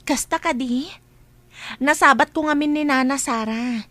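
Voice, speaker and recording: A woman's voice: sits high, unhurried, sharp tone, dry recording. A woman playing a considerate, chatty young friend in a radio drama. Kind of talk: Ilocano radio drama acting.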